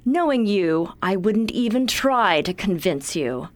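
A woman playing a smooth, scheming corporate heiress in a radio drama, coolly persuasive and self-assured. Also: medium pitch, big pitch swings, measured pace. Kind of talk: science fiction radio drama acting.

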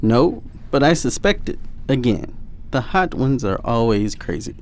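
A wry male veteran played by a man in a radio play, mid-pitched and very animated. He is cynical and teasing, with slight noise in the background. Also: a little slow. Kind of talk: audio drama acting.

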